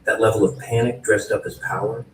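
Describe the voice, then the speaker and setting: A man with a deep, low voice narrates a dark fantasy script in a theatrical spoken-word style, speaking straight to the listener. The recording is roomy and distant, with faint noise behind him. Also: level tone, a little fast. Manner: theatrical, addresses the listener directly.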